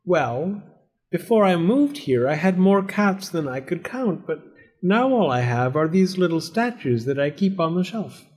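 A coy older woman from a storybook , acted out by a male reader. She speaks high, with a lively lilt. Her manner is polite and innocent, evasive yet never flustered. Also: unhurried. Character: coy, unflappable older woman, a cat-hiding tenant.

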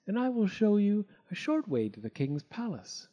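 A man's voice: sits high, sing-song, normal speed, warm tone, muffled recording, pitched above the performer's own voice. A man voicing a sly, courteous mother fox in a storybook tale. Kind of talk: storybook character voice.

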